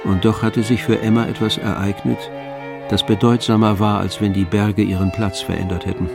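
Male narrator, fairly low voice, measured literary storytelling, scripted radio drama, music behind. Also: flat delivery, vocal fry.

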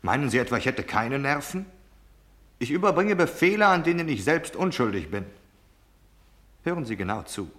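An actor in a German radio drama playing a cold, domineering officer, curt, impatient and cynical in giving orders. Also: male voice, medium pitch, big pitch swings, normal speed, pauses often, fairly bright tone. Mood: barking impatient orders. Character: cold, domineering military officer delivering deadly orders, male.

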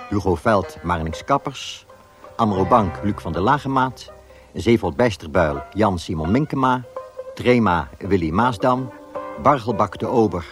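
A man reading scripted credits as a radio announcer. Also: fairly low pitch. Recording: music behind the voice.

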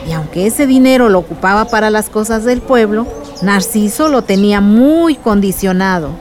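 An actress playing a reflective aunt who explains family history in a radio drama. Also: female voice, mid-range voice, expressive, normal speed, darkish tone, natural voice.

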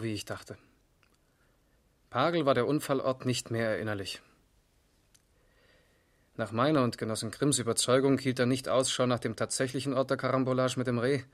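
Actor as a sober, methodical police investigator. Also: male voice, medium pitch, natural voice. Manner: matter-of-fact, controlled, precise. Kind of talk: 1970s German radio crime drama acting.

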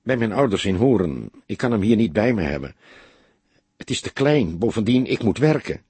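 Man playing young single mother, embittered witness, scornful and defensive, very husky, lifted pitch, audiobook dialogue. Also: very animated, moderate pace, darkish tone, no room sound, full character voice. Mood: resigned. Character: young unmarried mother, bitter, upset, sober-mannered witness.

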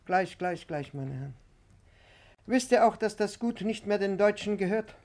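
An actor playing a gentle, grateful, insistent man in a 1950s radio drama, pleading and often repeating his words. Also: high voice, lively intonation, normal speed.

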